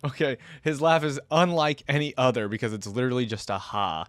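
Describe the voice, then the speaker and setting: A man's voice: medium pitch, expressive, a little fast, a bit bright, no echo. A man casually commentating as the host of a reaction video, chatting informally like a fan with frequent asides.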